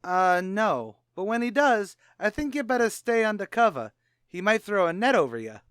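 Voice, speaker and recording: A man's voice: high-pitched, very animated, normal speed, a bit bright, clear, resonant tone, no echo, clean studio sound. A man playing a brash, slangy, bluffing tavern manager who wisecracks in an old-time radio comedy. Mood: wisecracking. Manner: wisecracking, mock-grandiose.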